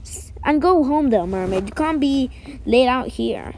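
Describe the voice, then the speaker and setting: A woman's voice: high voice, lively intonation, normal speed, a little mellow, slight background noise. A woman voicing a stern, peace-keeping royal ruler of the ocean in a cartoon, firm and admonishing. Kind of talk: amateur cartoon character voice acting.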